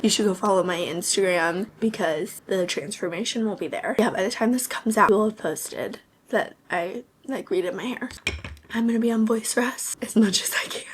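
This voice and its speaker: A young woman vlogger with a hoarse, fading voice, chatting casually to the camera and often slipping into a breathy half-whisper. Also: mid-range voice, slight background noise. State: hoarse, losing her voice. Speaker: lifestyle vlogger. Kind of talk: casual vlog chat to camera.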